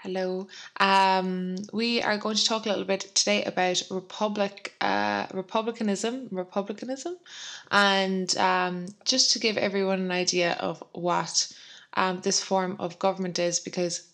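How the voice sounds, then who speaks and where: Hoarse woman, podcast co-host, gravelly and rambling, self-deprecating chat. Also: mid-range voice, steady tone, a little slow, a bit bright.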